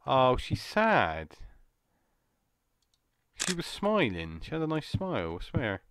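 A man with a slightly croaky voice, rambling and jumpy as he comments on a horror game. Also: medium pitch, huge pitch swings, slow, background noise. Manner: rambling, jumpy, muttering to himself. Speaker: gaming channel host. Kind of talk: casual horror gameplay commentary.

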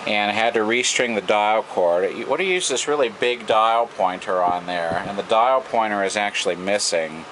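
An American man with a fairly low voice gives an informal hands-on repair demonstration. He speaks haltingly, as if thinking aloud, into a camera mic at an indoor bench. A steady hum sits clearly behind him. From United States. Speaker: radio repair hobbyist. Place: indoor workbench. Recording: noisy room, steady background hum.

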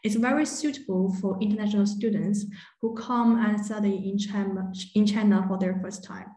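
A woman presenting a university program in a webinar over a video call, pausing often with fillers. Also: mid-range voice, fairly even intonation, mellow, echoey room, poor audio. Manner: hesitant, many "uh" fillers. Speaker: admissions presenter.

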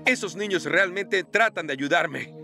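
Superhéroe ingenuo y pausado. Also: male voice, high voice. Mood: innocent, trusting. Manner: innocent, hesitant, bewildered. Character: kindly, naive, confused adult male superhero. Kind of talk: children's TV comedy dub in Spanish. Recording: loud music behind the voice.

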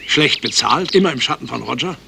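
An actor playing a detective's inquisitive friend in a 1970s radio crime drama, reasoning deliberately. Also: male voice, mid-range voice, lively intonation, vocal fry. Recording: noisy vintage radio recording with birdsong.

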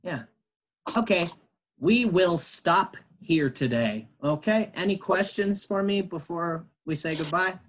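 A man's voice: sits high, slow, a little hiss or hum. A man teaching an online English class, patiently explaining in English and Spanish.

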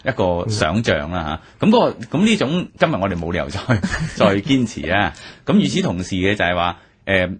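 A deep-voiced man with a husky, rough tone and a Hong Kong Cantonese accent. He comments in a conversational, unscripted way as part of a discussion. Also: very animated, brisk, few pauses.

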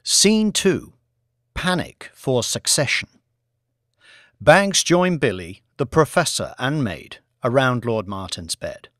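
A man's voice: mid-range voice, sing-song, slow, a bit bright. A man reading scripted fiction as a dramatic audiobook narrator.

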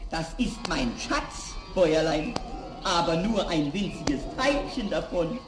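High-pitched little devil. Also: male voice, lively intonation, slow, roomy sound, music in the background. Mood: sly, boastful. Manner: theatrical, gloating. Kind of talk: 1960s German fairy-tale radio play acting.

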